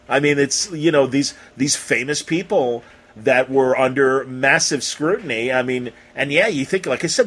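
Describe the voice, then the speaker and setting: A man with an American accent interviews in a casual, conversational style. His voice is husky and sits at a middle pitch. He rambles effusively as he goes. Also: a bit bright. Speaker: podcast interviewer.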